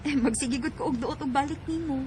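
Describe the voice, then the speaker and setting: A woman's voice: high-pitched, quick pace, darkish tone, slight background noise, close to an everyday voice. A woman playing an easygoing, friendly young woman in a radio drama, with a Filipino accent. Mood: amused, friendly.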